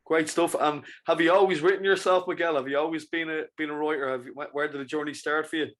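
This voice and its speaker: A man hosting a podcast interview, upbeat and encouraging, with halting, restarting questions. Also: fairly high pitch, fairly even intonation, fairly bright tone. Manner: upbeat, encouraging, quick to praise. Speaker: podcast host. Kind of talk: casual interview chat.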